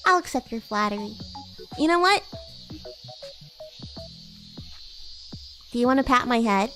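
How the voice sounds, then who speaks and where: A woman voicing a bratty, flustered demon schoolgirl who is secretly fond, teasing and halting, in an anime-like livestream roleplay. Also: on the high side, expressive, pushed and bright, soft background music. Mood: flustered, haughty. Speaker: VTuber streamer.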